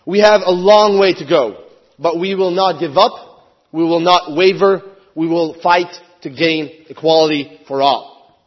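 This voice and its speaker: Earnest, husky-voiced man giving a speech. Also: on the high side, highs cut off. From Hungary. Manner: earnest, measured, rising to emphatic appeals. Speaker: member of parliament speaking at a conference. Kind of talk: prepared speech at a podium.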